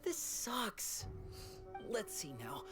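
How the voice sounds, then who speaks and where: A woman's voice: medium pitch, sing-song, drawn-out words, over music. A woman playing an earnest, hesitant young army trainee in an anime fantasy game, easily discouraged and respectful. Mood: dejected.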